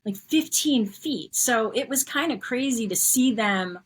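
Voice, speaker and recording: A woman's voice: on the high side, animated, unhurried, breathy edge. Friendly, rambling middle-aged woman guest. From New York. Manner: friendly, rambling, laughs often. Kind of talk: casual interview chat.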